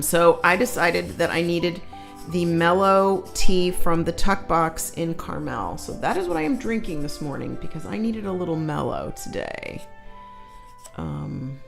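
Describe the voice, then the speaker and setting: Giggly low-voiced woman reading chat. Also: vocal fry. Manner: giggly, animated, reads comments aloud. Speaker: co-host reading viewer chat. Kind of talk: casual live-stream chat.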